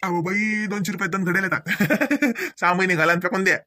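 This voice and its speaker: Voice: medium pitch, fairly quick, bright, forceful tone, no room sound, natural voice. An actor voicing an eager, polite, easygoing college-age young man in a comedy cartoon, speaking colloquial Marathi with a Varhadi accent. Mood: eager, reassuring. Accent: Varhadi Marathi from Vidarbha. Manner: colloquial, obliging, reassuring.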